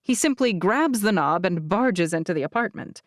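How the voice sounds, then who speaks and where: A woman narrating a novel in the first person as an audiobook reader, with expressive, deliberate phrasing. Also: medium pitch, no echo, studio quality.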